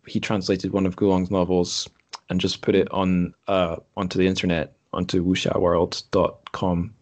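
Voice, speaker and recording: A man's voice: sits low, level tone, moderate pace. A man hosting a podcast in casual, unscripted chat, hesitant and correcting himself as he thinks aloud. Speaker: podcast host. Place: room in a home.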